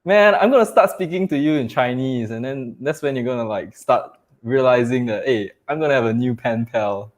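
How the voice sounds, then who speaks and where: A man's voice: medium pitch, normal speed, few pauses. A man hosting a casual conversation, asking his guest curious questions. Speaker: host.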